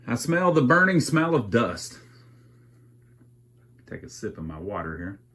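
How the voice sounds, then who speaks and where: A man's voice: medium pitch, sing-song, measured pace, a bit bright, husky. A man casually thinking aloud as he narrates a hands-on repair, with a folksy, wry sense of humor. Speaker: amateur radio repairer and video host.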